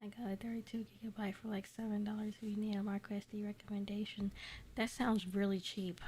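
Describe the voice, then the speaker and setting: A woman's voice: medium pitch, mellow. A woman livestreaming a game, chatting casually in a rambling way while she plays. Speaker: game streamer. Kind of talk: casual gaming livestream chat. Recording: clearly noisy livestream audio.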